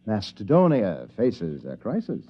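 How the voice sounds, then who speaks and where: A man acting an easygoing, soft-hearted inventor and adventurer in a 1950s radio drama, whimsical and mock-grandiose. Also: fairly low pitch, wildly swooping pitch, unhurried, loud music behind the voice. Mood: rueful. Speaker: actor. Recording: dull, muffled vintage radio recording.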